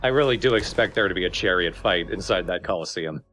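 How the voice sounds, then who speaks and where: A man's voice: sits low, fast, a bit bright, faint music behind. A man co-commentating on a video game in casual banter with friends. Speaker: let's play co-commentator.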